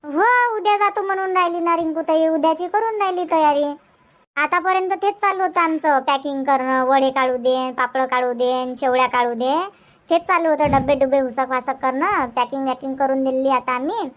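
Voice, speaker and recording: A woman's voice: sits high, moderate pace, lightly acted. A woman playing a busy, welcoming married daughter in a village cartoon comedy, chatting homelily with a Varhadi Marathi accent. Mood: welcoming, chatty.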